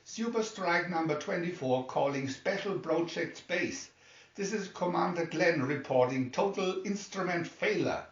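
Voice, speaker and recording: A man's voice: on the high side, expressive, measured pace. A man playing an urgent craft commander who reports over the radio in clipped, formal phrases. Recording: muffled, echoey room, distant mic.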